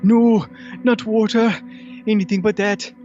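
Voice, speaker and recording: High fussy kitty, quarrelsome n dramatic, bit dull sound, music under it. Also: male voice, level tone, a little slow, dark tone. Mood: fussy, panicked. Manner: fussy, melodramatic. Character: Tybalt, small fuzzy quarrelsome talking cat. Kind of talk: audio drama acting.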